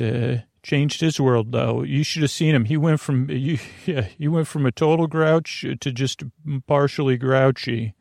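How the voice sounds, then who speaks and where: A man with a creaky voice hosting a bedtime podcast, rambling in a silly, meandering way that trails off and circles back. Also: mid-range voice, darkish tone, no echo, clean studio sound. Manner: silly, goofy. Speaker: podcast host.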